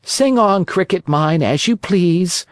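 A storyteller voicing an impudent, quick-tempered wooden marionette boy in a storybook reading. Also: mid-range voice, lively intonation, no room sound, clean studio sound, voice pushed up. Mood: cheeky defiant. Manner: cheeky, impatient.

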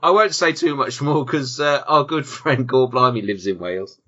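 British guy podcast host, sharp bright voice, dry jokes and swearing, kinda lo-fi sound. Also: mid-range voice, normal speed. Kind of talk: casual banter and film chat.

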